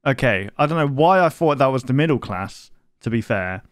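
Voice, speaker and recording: A man's voice: medium pitch, expressive, normal speed, dry recording, clean studio sound. A man hosting a reaction video, chatting casually with an informal, joking, sweary manner.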